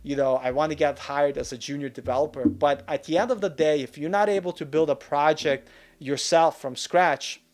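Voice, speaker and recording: A man's voice: fairly high pitch, fairly even intonation, normal speed, a little mellow. A man in his forties hosting a live Q&A, talking frankly and earnestly in a chatty way with lots of fillers. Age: 40s. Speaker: channel host. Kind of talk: live Q&A advice chat.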